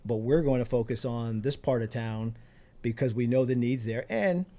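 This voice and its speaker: A man's voice: mid-range voice, moderate pace, dark tone. A middle-aged or older man from Pennsylvania, speaking conversationally as an interview guest and community organizer in a studio. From Pennsylvania, United States. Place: radio studio.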